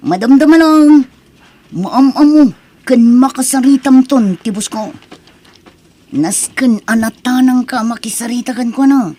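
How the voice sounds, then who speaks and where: A woman plays a confident male friend drawing someone into work. The voice is mellow and rough-edged. The delivery is persuasive and testing, in radio drama style. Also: sits high, unhurried. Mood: businesslike. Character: confident male friend recruiting for a shady job.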